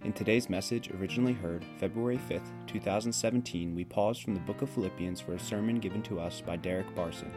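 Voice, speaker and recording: Deep-voiced announcer over guitar music. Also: male voice. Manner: measured, formal announcing. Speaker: church announcer. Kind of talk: scripted recorded introduction.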